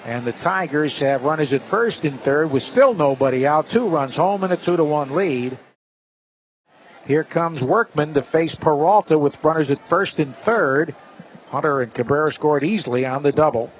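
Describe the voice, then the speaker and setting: Male baseball play-by-play announcer, fairly high register, live radio call, slightly muffled broadcast with faint ballpark noise. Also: expressive, moderate pace.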